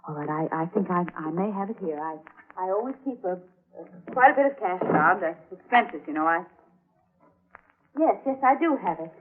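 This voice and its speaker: Nervous stammering niece, tinny radio. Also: female voice, fairly low pitch, lively intonation, normal speed. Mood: nervous, evasive. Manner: hesitant, stammering, polite. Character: nervous, secretive young niece hiding a murder. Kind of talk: vintage radio thriller acting. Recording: tinny, noisy old radio recording.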